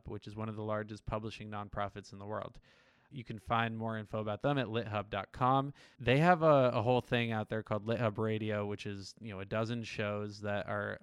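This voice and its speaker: Podcast host guy, casual upbeat announcement, crisp voice, super dry close sound. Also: lowish voice, dry recording.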